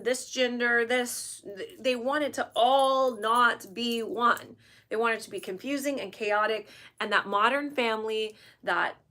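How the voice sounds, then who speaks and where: A woman's voice: medium pitch, bright, forceful tone. A woman from the United States hosting her channel, giving emphatic opinion commentary to camera and often checking in with tag questions. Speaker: channel host.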